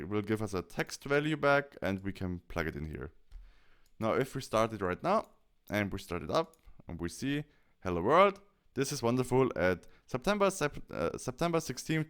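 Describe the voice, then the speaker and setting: A man presenting a software tutorial, explaining things step by step and often correcting himself as he goes. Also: fairly low pitch, big pitch swings, normal speed, clean tone.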